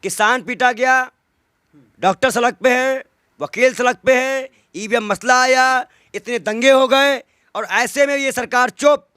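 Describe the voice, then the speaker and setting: A man with a strikingly high-pitched voice in an impassioned political interview. He argues indignantly, piling up rhetorical questions and repeating key phrases for emphasis. The sound is dry, with almost no room echo. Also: unhurried, pushed and bright, smooth.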